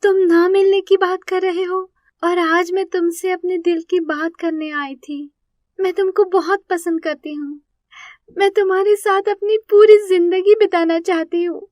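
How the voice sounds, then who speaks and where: A woman voicing a sweet, polite, earnest young woman in an animated moral story. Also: very high-pitched, measured pace, no room sound, studio quality, voice pushed up. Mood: lovelorn. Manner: polite, pleading, heartfelt.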